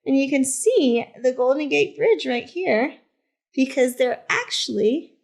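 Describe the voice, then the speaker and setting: A woman reading a picture book aloud to children as a teacher librarian, in simple, deliberate phrases with short asides. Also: high voice, expressive, gravelly, a little mellow. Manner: simple, deliberate phrasing addressed to young listeners.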